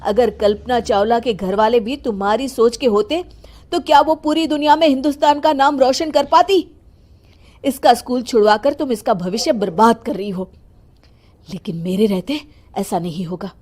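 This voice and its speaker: A protective, indignant father played by a woman in a cartoon story. The delivery is firm and emphatic, in a voice pitched rather high for the role. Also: normal speed, darkish tone, close to an everyday voice. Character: indignant, protective father standing up for his daughter. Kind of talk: cartoon morality tale voice acting.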